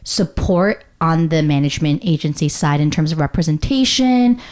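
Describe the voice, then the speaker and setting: Low-voiced young woman host. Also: expressive, normal speed, a little mellow. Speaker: podcast co-host. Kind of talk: casual podcast chat.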